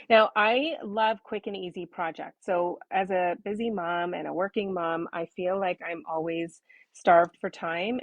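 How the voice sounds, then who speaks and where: A woman with a slightly hoarse, tired-sounding voice, chatting informally as she hosts a casual step-by-step craft demonstration with self-deprecating asides. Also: lowish voice, no room sound, highs cut off. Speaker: craft tutorial host.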